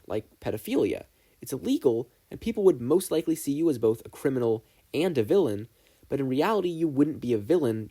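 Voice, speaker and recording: Man acting an earnest, animated teenage boy idealist. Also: mid-range voice, breathy edge. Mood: thoughtful, reasoning. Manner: emphatic, argumentative.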